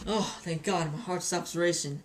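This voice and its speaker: Chatty woman vlogger, slightly low voice, light noise. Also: moderate pace. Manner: chatty, repeats phrases for emphasis. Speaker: hobbyist video reviewer. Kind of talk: casual vlog review with anecdotes.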